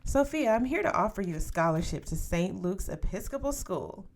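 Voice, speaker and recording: A woman's voice: animated, moderate pace, darkish tone. Woman reading as keen school recruiter man, courteous, encouraging, selling manner, storybook dialogue. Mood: upbeat, encouraging. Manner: enthusiastic, polite sales pitch. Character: eager, encouraging male school recruiter in neat tweedy dress.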